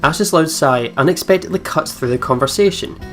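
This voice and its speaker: A woman's voice: low voice, animated, fairly quick. A woman hosting a let's play, chatting casually and reading a visual novel's story aloud with reactions of her own. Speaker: let's play video host. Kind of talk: casual game commentary and reading narration aloud. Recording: music behind the voice. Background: visual novel game music.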